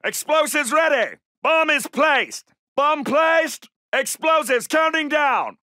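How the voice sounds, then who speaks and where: An actor playing a brisk, gallant British Second World War soldier in a video game, with a clipped, commanding and formal manner. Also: male voice, very high voice, expressive, pushed and bright, no echo, studio quality, exaggerated voice. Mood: brisk, satisfied. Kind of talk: military game battle barks.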